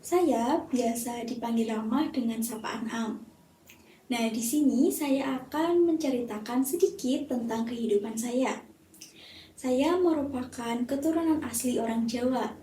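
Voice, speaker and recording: A young woman from Central Java, a university student reading a prepared self-introduction for a class assignment in measured, explanatory sentences. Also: on the high side, warm tone. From Temanggung, Central Java, Indonesia. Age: young adult. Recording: roomy echo, distant mic, faint hiss.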